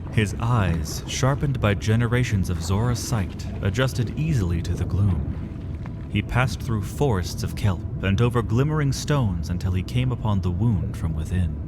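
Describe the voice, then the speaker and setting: A man narrating a fantasy story in a dramatic, solemn, cinematic scripted style. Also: lowish voice.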